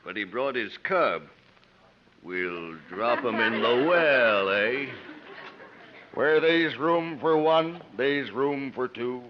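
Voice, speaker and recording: An actor playing a menacing, rough tavern villain with a slow, gloating drawl in a 1950s radio drama. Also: male voice, mid-range voice, expressive, noisy background. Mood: threatening. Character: menacing rough tavern-keeping brute and his murderous brother. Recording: muffled 1950s radio broadcast.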